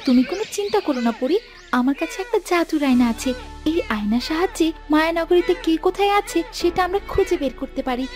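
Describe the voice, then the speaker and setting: Woman playing a high, animated young heroine. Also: quick pace, soft background music. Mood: sympathetic. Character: devoted young wife on a quest, tender, emotional. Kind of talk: children's fairy tale cartoon voice acting.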